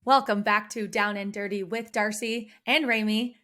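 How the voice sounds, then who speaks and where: A woman hosting a podcast in casual chat, her voice a bit hoarse, telling stories in a bubbly, animated way. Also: medium pitch, normal speed. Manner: bubbly, animated storytelling with many "like" fillers. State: slightly hoarse. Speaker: podcast host, career coach.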